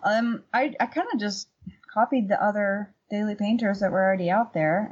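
Husky lady chatting as a podcast guest, casual answers, kinda dull lo-fi audio. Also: medium pitch, a little mellow. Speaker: podcast guest, painter and workshop teacher. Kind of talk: casual conversational interview answers.

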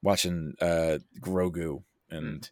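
Low-voiced man chatting as a podcast guest. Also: little pitch movement, slow, no room sound, clean studio sound. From United States. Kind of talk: casual conversational chat.

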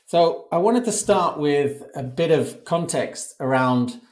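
A man's voice: a little high, animated. Podcast host man in a roomy space. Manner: measured, scripted introduction. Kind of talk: podcast intro and interview.

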